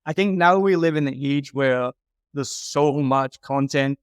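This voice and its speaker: A man's voice: medium pitch, measured pace, smooth, dry recording, studio quality. A man giving frank, emphatic advice in a conversational critique, like an audio consultant reviewing someone's work.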